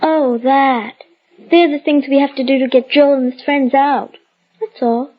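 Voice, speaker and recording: A woman's voice: high voice, fairly even intonation, a little slow. A woman playing an earnest, secretive young girl in an old radio drama, eager and offhand with childish slang. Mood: evasive. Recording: dull lo-fi old radio recording.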